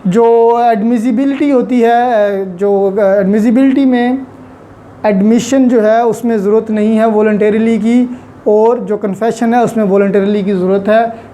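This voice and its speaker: A woman's voice: on the high side, fairly even intonation, a little slow, mellow, slight background noise. A woman giving an explanatory lecture in a didactic way, repeating key phrases for emphasis and mixing in English terms. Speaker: law lecturer. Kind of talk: explanatory lecture, Urdu-Hindi mixed with English legal terms.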